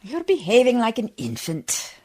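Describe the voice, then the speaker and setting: A woman's voice: on the high side, huge pitch swings, normal speed, mellow, slightly rough, exaggerated voice. A woman acting a prim, pious, stern lady with formal, reproving speech in a radio play. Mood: cold reproach.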